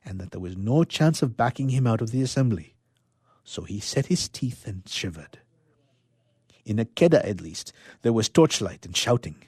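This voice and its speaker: A man narrating a story dramatically, with expressive, suspenseful delivery and phrases that drop to a hush. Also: mid-range voice, husky, no echo, studio quality. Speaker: storyteller, reader.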